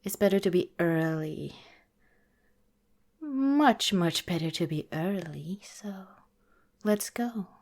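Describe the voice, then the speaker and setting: A woman playing a protective vampire girlfriend in an ASMR roleplay, speaking softly and deliberately, partly in a whisper, earnest and cautioning. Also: fairly low pitch, expressive, exaggerated voice. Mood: earnest, solemn. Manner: soft, deliberate, breathy whispering in places.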